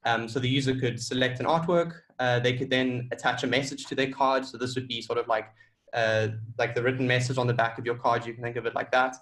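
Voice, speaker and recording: A man's voice: mid-range voice, steady tone, fairly quick, fairly bright tone. A man presenting his tech project informally on a conference call.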